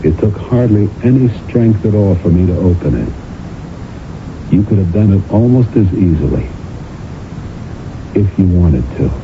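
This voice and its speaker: An actor playing a stern, accusing husband who interrogates pointedly in a radio mystery drama. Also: male voice, low-pitched, animated, measured pace, pauses often. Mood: coldly accusing. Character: stern, accusing husband, a relentless talent agent. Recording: noisy, narrow-band old radio recording.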